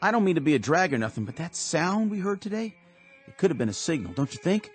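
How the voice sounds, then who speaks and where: Radio drama role: young superstitious private, earnest and nervy, highish animated male voice. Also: fast. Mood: uneasy, earnest. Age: young adult. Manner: earnest, anxious, talkative. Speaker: actor. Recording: radio drama with music underneath.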